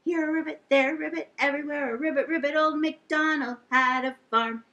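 A middle-aged woman with a high voice hosts a children's storytime. She is animated and encouraging, moving between singsong verses and simple directions for little listeners. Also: fairly quick, muffled recording. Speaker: children's library storytime host. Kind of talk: sing-along storytime for young children. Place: indoor lounge with couch and fireplace.

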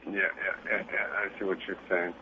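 A man's voice: sits low, level tone, fairly quick, pushed and bright, slightly rough. A man from Italy with an Italian accent, calling into a radio talk show and chatting casually and eagerly. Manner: chatty, eager, laughs easily. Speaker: caller. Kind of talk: casual call-in chat. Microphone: telephone. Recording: phone line.

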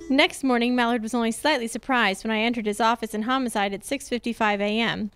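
A woman plays a composed lady detective telling her case on old-time radio. Her voice is fairly high, with measured storytelling delivery. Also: steady tone, fairly bright tone, smooth, soft background music, lightly acted. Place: theatre stage, live performance.

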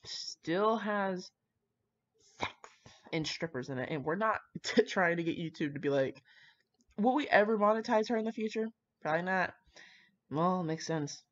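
A woman's voice: lowish voice, moderate pace, long pauses, lo-fi sound. A young woman chatting casually and rambling to her viewers in an irreverent, foul-mouthed, self-mocking manner. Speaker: host of a fan fiction reading channel.

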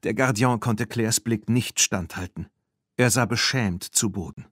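A man reading a storybook aloud as an audiobook narrator, in a measured, clearly articulated way. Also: on the low side, fairly bright tone, dry recording, studio quality.

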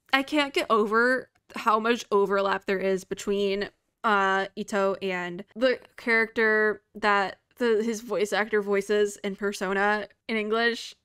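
A young woman giving casual, excitable reaction commentary as a video host, often repeating herself. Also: on the high side, clean tone.

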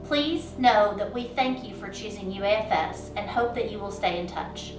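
A woman's voice: medium pitch, normal speed. Formal woman dean, echoey distant sound. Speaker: interim college dean. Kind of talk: formal prerecorded address. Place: indoors before a dark curtain. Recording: echoey, distant mic, music behind.

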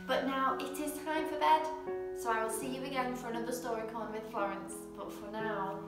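High-voiced young woman reading in an echoey room. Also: brisk, loud music behind the voice. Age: young adult. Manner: expressive, addressing young listeners at bedtime. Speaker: children's story presenter. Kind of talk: gentle storybook reading for children. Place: indoor room at home, seated in armchair.

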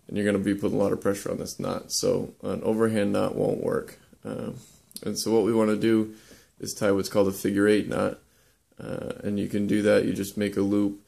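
A young man casually demonstrating how to lash boat joints, talking in a relaxed, halting way with many ums and uhs. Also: fairly low pitch, creaky. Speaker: woodworking instructor. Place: indoor workshop.

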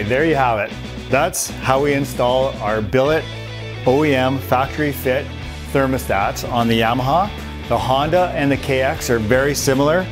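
A middle-aged man in a workshop, casually walking viewers through a motorbike part install, plain-spoken with a few fillers. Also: medium pitch. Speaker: parts company host demonstrating an install. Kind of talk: casual how-to walkthrough.

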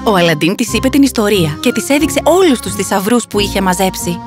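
A woman's voice: medium pitch, lively intonation, over music. A woman narrating a scripted fairy tale for children.

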